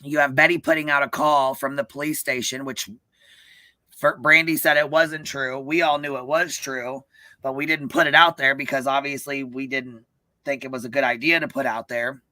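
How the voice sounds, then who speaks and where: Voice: mid-range voice, fairly even intonation, moderate pace, pushed and bright, no echo. A livestream host chatting casually about events in an emphatic, profane way. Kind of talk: casual live commentary chat.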